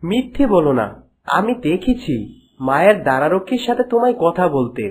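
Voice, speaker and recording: A man's voice: sits high, sing-song, normal speed, slightly rough, heavily acted. A man voicing a stern, suspicious young prince with a firm, questioning manner in a cartoon. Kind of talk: cartoon dialogue.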